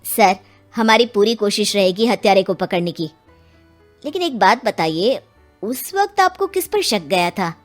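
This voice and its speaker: A woman's voice: medium pitch, lively intonation, a little slow, faint music behind, lightly acted. A woman voicing an earnest, respectful male police inspector in an acted story. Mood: polite, probing. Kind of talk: acted Hindi audio story dialogue.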